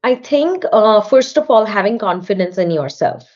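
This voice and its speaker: A woman's voice: medium pitch, lively intonation, normal speed, darkish tone, lo-fi sound. A young woman with an Indian English accent, talking as a podcast guest in an earnest, encouraging way. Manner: earnest, encouraging, with frequent restarts. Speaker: podcast guest, artist and studio owner. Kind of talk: casual interview talk.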